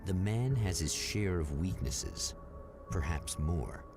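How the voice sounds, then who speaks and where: An actor playing the solemn, portentous narrator of a vintage mystery anthology show, in measured dramatic narration. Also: male voice, sits low, animated, over music.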